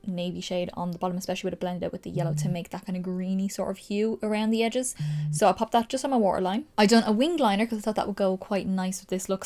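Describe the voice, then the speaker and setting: A woman's voice: medium pitch, darkish tone. A young Irish woman with an Irish accent, chatting casually through a makeup tutorial, gushing and explaining each step as she goes. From Ireland. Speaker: makeup vlogger.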